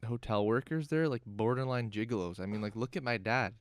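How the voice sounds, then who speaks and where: A man's voice: mid-range voice, normal speed. A man from the Dominican Republic, co-hosting a podcast in casual, joking, crude banter. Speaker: podcast co-host. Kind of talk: casual chat. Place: basement.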